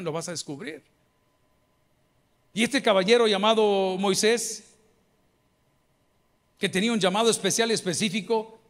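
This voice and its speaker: Lively Salvadoran pastor preaching. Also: male voice, sits high. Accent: Salvadoran Spanish. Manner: lively preaching, bursts of mimicry and humor. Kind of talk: sermon. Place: large church auditorium with a crowd. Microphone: handheld microphone.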